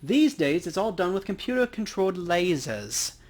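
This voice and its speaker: Man acting a high-voiced dwarf coroner, wry. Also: sing-song, moderate pace. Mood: explanatory. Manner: precise, wry, matter-of-fact. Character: dry, principled dwarf coroner, sharp and learned. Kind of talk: hobby voice acting for a game's dialogue.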